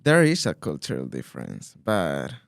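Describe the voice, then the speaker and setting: A man in his twenties from Colombia, raised in Spain, whose first language is Spanish, talking as a podcast guest and pausing and restarting as he looks for words. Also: a bass voice, huge pitch swings, gravelly, no echo. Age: 20s. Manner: hesitant, restarts when searching for words. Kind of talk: casual interview.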